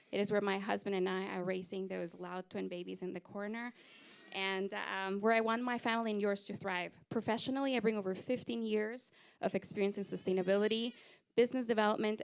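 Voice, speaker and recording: A woman from Guatemala with a mid-pitched voice gives her campaign speech as a town council candidate. She speaks in a warm, energetic and personal way, in a community rec center hall.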